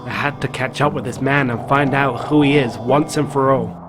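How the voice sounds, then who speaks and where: A man's voice: medium pitch, steady tone, moderate pace, over music, close to an everyday voice. A man playing a haunted, guilt-ridden middle-aged father narrating a horror story in a game. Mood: shaken, grim. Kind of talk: dramatic first-person story narration.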